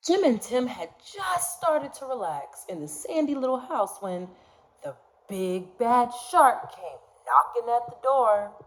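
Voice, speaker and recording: A woman reads a children's story aloud as its narrator. She takes her time, pacing each phrase very deliberately. Her pitch rises and falls in an animated, expressive storytelling style.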